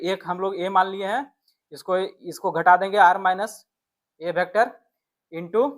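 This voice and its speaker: Casting: maths teacher, mid-pitched voice, walking students through exam problems step by step with frequent check-in tags. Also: a bit bright. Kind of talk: exam-question lesson, explaining step by step.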